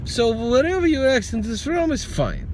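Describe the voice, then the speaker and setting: A man's voice: extremely high pitch, huge pitch swings, moderate pace, noisy background. A middle-aged man with a Russian accent, a vlogger joking dryly and rambling in a casual monologue while driving his car. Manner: dry joking, rambling with restarts.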